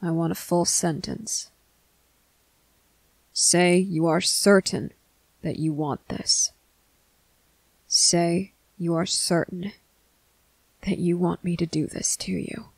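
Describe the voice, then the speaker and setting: A performer playing a stern, protective male vampire officer in an ASMR roleplay, speaking deliberately and sometimes hushed, with a commanding manner. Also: medium pitch, steady tone, vocal fry, husky, dry recording, full character voice, poor audio. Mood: stern, menacing. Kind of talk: ASMR roleplay addressed to the listener.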